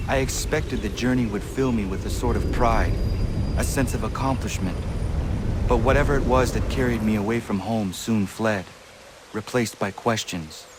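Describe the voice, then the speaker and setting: A man's voice: fairly low pitch, noisy background, lightly acted. An actor playing an earnest, determined young village man, speaking formally in a dramatic video game.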